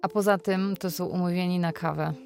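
Carer lady, low flat voice, wistful, frank, radio drama, bit of music under it. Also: quick pace, creaky. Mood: reflective. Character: Polish live-in carer, woman, wistful and frank. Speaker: actor. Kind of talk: radio drama narration and dialogue.

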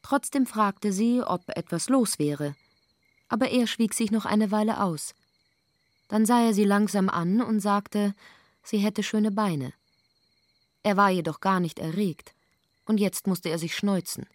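A woman's voice: mid-range voice, a little slow, no room sound. Woman narrating, close and dry sound. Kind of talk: literary scripted narration in an audio drama.

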